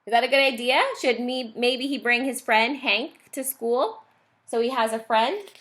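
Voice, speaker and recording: A woman's voice: a little high, moderate pace, fairly bright tone. A woman teacher reading a picture book aloud to young children, explaining and asking questions along the way.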